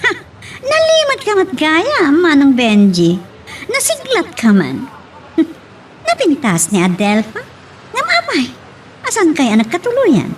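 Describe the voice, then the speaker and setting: A woman's voice: extremely high pitch, huge pitch swings, takes it slowly, long pauses, heavily acted. A woman playing a spoiled, scheming young rich woman who taunts and orders in an Ilocano audio drama, with an Ilocano accent. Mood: mocking, teasing. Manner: taunting, imperious. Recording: clear background hiss and noise.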